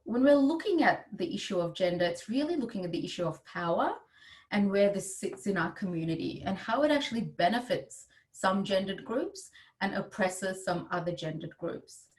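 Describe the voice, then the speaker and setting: A woman giving an explanatory conference presentation in a measured, emphatic manner. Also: mid-range voice. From India. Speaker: advisor presenting at a summit. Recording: distant microphone.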